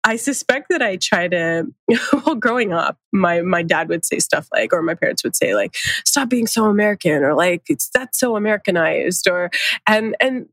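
A woman's voice: on the low side, expressive, moderate pace, fairly bright tone, no room sound. A woman in her thirties with a light Pakistani accent, talking candidly in a casual conversation and laughing often. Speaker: podcast guest.

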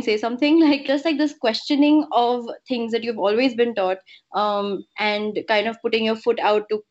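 A woman's voice: on the high side, dull audio. A woman from India speaking frankly and reflectively in a casual panel discussion.

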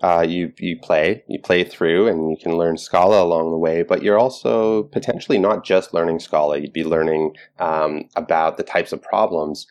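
A man's voice: deep voice, fairly even intonation, moderate pace, hardly any pauses, a little mellow. A man hosting a casual interview, asking curious questions and rephrasing as he goes. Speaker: interviewer, host.

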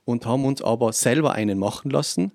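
A man's voice: mid-range voice, quick pace, vocal fry, darkish tone, no echo, clean studio sound. A man with a Tyrolean Austrian accent chatting as a musician guest, telling anecdotes in a casual way. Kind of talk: casual anecdotal interview chat.

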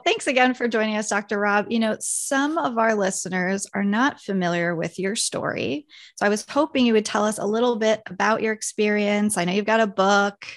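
A woman's voice: medium pitch, fairly quick. A woman co-hosting a podcast, reading a content warning and asking friendly, prompting questions. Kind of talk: conversational interviewing.

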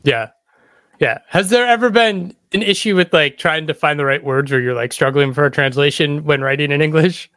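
Higher-voiced man, close dry podcast sound. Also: moderate pace, a bit bright, no room sound. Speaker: podcast host, interviewer. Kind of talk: casual interview chat.

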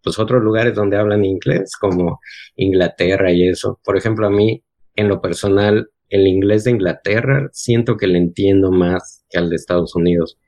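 A man's voice: low voice, normal speed, warm tone. A Mexican man with a Mexican accent, chatting casually and unhurriedly, often ending his points with a questioning tag. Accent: Mexican Spanish. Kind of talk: casual chat.

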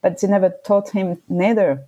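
A woman's voice: medium pitch, normal speed, mellow, highs cut off. A middle-aged woman from Switzerland, talking thoughtfully in an interview with frequent restarts. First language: French. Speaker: guest. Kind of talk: casual interview conversation.

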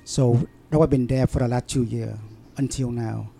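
A man in an interview, telling a story in halting, broken English with many fillers and restarts. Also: medium pitch, fairly even intonation, creaky, mellow. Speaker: interview guest. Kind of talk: casual storytelling.

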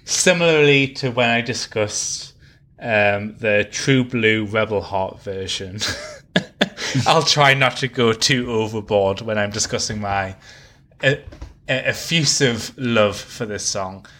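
A man's voice: on the low side, takes it slowly, sharp tone. A man co-hosting a casual music discussion, talking in an effusive, analytical and wordy way. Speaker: podcast co-host.

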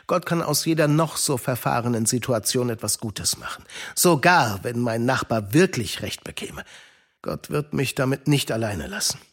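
A man's voice: medium pitch, lively intonation, normal speed, fairly bright tone. A man acting a kindly, devout uncle in a German children's radio play, earnest and shaken. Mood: earnest, steadfast.

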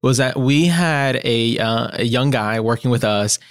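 A young man from Puerto Rico with a Puerto Rican Spanish accent, Spanish his first language, chatting as a podcast guest in an animated, halting way with many restarts. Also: mid-range voice, clear, resonant tone. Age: young adult. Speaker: guest, agency principal. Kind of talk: casual interview chat.

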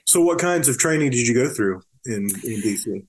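American man, mid-pitched, careful conversational interviewer for oral history. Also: lively intonation, normal speed, breathy edge.

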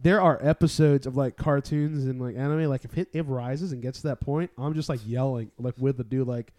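A man chatting casually, telling stories in an animated, slangy way. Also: medium pitch, fairly quick, no echo, clean studio sound. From born in the Philippines. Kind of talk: casual chat.

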